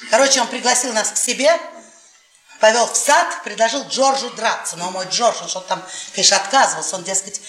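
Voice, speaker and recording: An actress playing a brash, mocking middle-aged professor's wife in a radio play, telling things in an earthy, animated way. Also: female voice, medium pitch, a little fast, close to an everyday voice. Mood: mocking, amused. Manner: earthy, laughing, animated storytelling. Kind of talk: theatrical radio play acting. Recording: roomy stage sound with audience noise.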